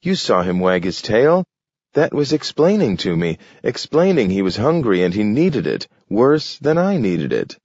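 A man voicing a gentle, idealistic male dog who can talk, pleading in a raised, eager voice. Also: on the low side, big pitch swings, a little slow, slightly rough, no echo, full character voice. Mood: earnest. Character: earnest, gentle young male talking dog. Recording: dull, dry recording.

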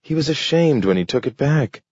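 A man voicing a gentle, idealistic male dog who can talk, pleading in a raised, eager voice. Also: mid-range voice, animated, normal speed, slightly rough, dry recording, pitched above the performer's own voice. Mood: earnest. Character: earnest, gentle young male talking dog. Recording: dull, dry recording.

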